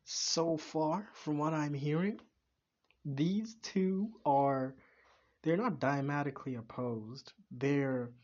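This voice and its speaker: A man's voice: a little high, a little mellow, muffled recording. Rambling young man. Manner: rambling, halting, full of fillers and restarts. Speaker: reaction video host. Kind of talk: casual chat.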